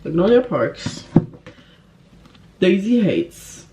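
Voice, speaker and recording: A woman vlogger talks casually to the camera in a fairly low-pitched female voice. Her delivery is emphatic and gushing, with a giggly, informal way of talking. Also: sing-song, extremely slow, background noise. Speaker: book vlogger.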